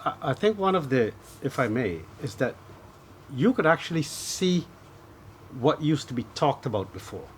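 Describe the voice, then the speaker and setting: Host read: middle-aged man, mid-pitched, guides guest with tag questions, slight studio noise. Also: very animated, moderate pace. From Guyana. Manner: leading, prompts agreement with "right?" and "correct?". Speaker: talk show host. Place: TV studio set, seated at small table.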